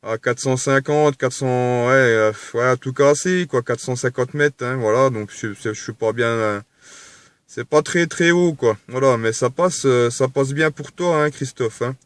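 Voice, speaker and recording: A man making casual conversation as a radio operator, halting and repetitive, often ending phrases with little tags. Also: mid-range voice, clean tone, no echo. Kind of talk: casual radio chat with signal reports.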